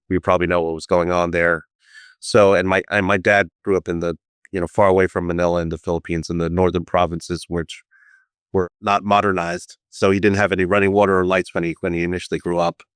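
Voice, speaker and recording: A man with a very low, smooth and resonant voice answers interview questions in a thoughtful, explanatory way. He sits close to a dry microphone with no room sound. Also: little pitch movement, fairly quick, dry recording. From United States. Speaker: guest, firm co-founder.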